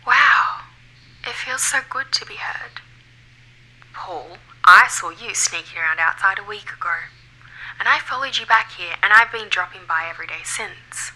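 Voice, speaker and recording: A woman playing a ghostly woman in an audio drama, relieved to be heard, brisk and blunt. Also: mid-range voice, expressive, sharp tone, slightly rough, heavily acted, low-quality recording. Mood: relieved, wry. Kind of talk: audio fiction acting.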